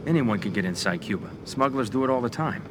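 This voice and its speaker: A man's voice: mid-range voice, animated, quick pace, background noise, lightly acted. Blunt, wary American mob boss, played by a man. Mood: skeptical. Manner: blunt, skeptical, questioning. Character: wary, tough male American mafia boss. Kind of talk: cinematic video game acting.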